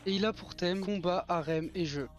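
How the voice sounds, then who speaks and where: A man's voice: sits high, moderate pace, faint music behind. A man, casually reviewing an anime in a joking vlog and now and then snapping in mock exasperation at his editor. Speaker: anime YouTuber.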